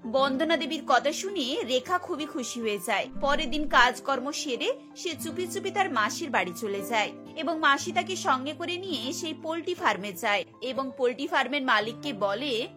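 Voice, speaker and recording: A woman's voice: high-pitched, animated, normal speed, over music. A woman narrating a scripted Bengali cartoon family story.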